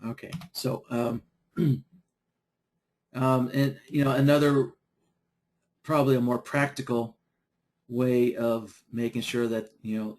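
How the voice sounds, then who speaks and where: A man teaching trading software in a live online session, losing his voice from a dry throat and clearing it often, talking in a halting, unhurried way. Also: medium pitch. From Idaho. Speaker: software trainer. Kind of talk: live webinar screen-share tutorial.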